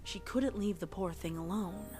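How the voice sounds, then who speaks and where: A woman's voice: mid-range voice, moderate pace, mellow, faint music behind. A woman reading fan fiction aloud as a storyteller, with casual reaction asides. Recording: faint background noise.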